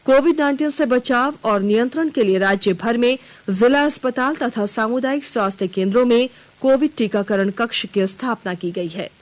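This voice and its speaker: A woman news anchor reading a scripted regional bulletin in a formal, measured manner. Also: fairly high pitch.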